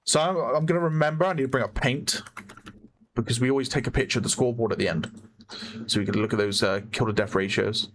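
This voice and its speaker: A man with a hoarse voice giving chatty play-by-play commentary on a competitive online shooter match, often correcting himself. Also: medium pitch, fast talker, fairly bright tone, slight background noise. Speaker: esports caster. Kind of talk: live game commentary.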